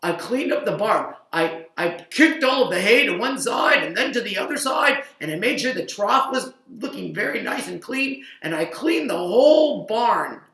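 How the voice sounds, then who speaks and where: Casting note: woman doing an indignant cow, loud and whiny, bright and gravelly. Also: sing-song, normal speed, few pauses, recorded from a distance. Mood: worried, indignant. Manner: shouting complaints, high and strained. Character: fretful, grumpy male farm cow. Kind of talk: improvised children's story. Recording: roomy echo, a little off-mic.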